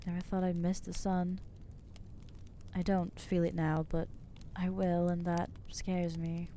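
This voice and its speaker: A woman playing an anxious, newly turned vampire in an ASMR roleplay, speaking in a hesitant, earnest, halting way with gentle inner conflict. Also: lowish voice, monotone, a little hiss or hum, close to an everyday voice. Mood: wry, uneasy, then fearful. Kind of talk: ASMR roleplay acting, intimate monologue.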